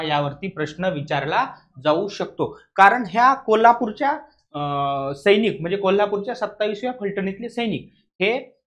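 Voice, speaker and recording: A man with a Maharashtrian accent lecturing as an exam coaching teacher, emphatically repeating key points and putting questions to students that he answers himself. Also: high-pitched.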